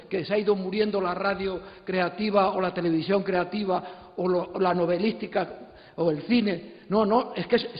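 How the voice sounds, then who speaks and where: A man giving an impassioned opinion at a public panel, emphatic, indignant and given to repeating himself for force. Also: high voice. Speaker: congress speaker, graphic humour specialist. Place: large indoor congress hall. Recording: dull, echoey hall recording.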